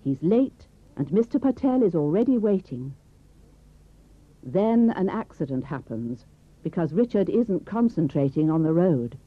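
A woman reads a textbook story with a lively, expressive voice. The recording is dry and tinny, like a phone line. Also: lowish voice, measured pace, no echo. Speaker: narrator. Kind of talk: scripted textbook narration.

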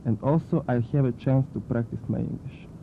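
A man's voice: mid-range voice, fairly even intonation, a little fast, vocal fry, lightly acted. A man playing a young student. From Hungary. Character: young male psychology student. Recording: tinny tape with hiss.

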